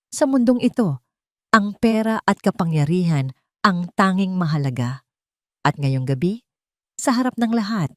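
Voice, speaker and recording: A woman's voice: on the low side, darkish tone, no room sound, clean studio sound. Woman voicing a haughty, taunting mistress. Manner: taunting, condescending. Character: haughty, mocking mistress, a greedy younger woman.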